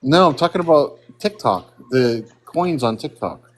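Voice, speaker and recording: An American man in a casual online group chat who talks in an eager, excitable way. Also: mid-range voice, unhurried. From United States.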